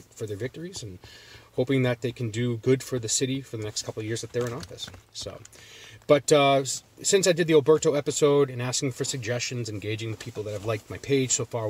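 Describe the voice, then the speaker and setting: Casual man with a Boston accent, mid-pitched voice, talking from a parked car in a loose, rambling vlog style. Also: a little hiss or hum. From Massachusetts. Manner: rambling, loose, with many fillers and restarts. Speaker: jerky reviewer.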